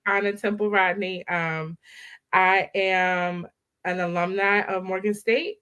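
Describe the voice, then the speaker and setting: A woman's voice: mid-range voice, steady tone, slow pace, a bit bright, clear, resonant tone. A woman in her thirties from the Baltimore area, a guest talking animatedly and laughing as she tells her story over a laptop video call. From Baltimore area, Maryland. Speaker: guest, maternal health director. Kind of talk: casual interview chat.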